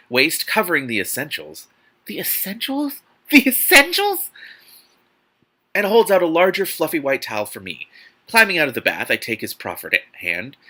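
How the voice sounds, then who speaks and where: A man hosting a dramatic book reading, animated and theatrical, breaking off into exasperated, incredulous, sarcastic commentary. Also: medium pitch. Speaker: host reading a novel aloud with commentary.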